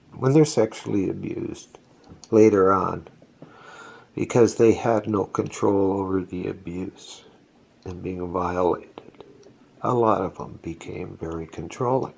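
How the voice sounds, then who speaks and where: Man, 60s, Estonian first language, home vlog on phone: deep, slow, reflective life story with restarts. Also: gravelly, a little mellow, slightly rough. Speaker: vlogger. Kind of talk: personal storytelling. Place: indoors, seated on a couch at home. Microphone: phone camera held close.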